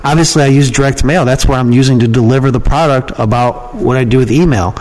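A man's voice: mid-range voice, fairly even intonation, fast, a little mellow, rough recording. A man giving casual, rambling and frank answers as an opinionated guest in an interview. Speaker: podcast guest, email marketer and copywriting trainer.

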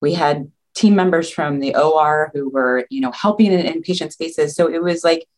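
A woman's voice: sits low, moderate pace, a little mellow. A woman, a nursing leader, speaking as a guest on a video call, earnest and talking in long run-on thoughts. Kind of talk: casual interview chat.

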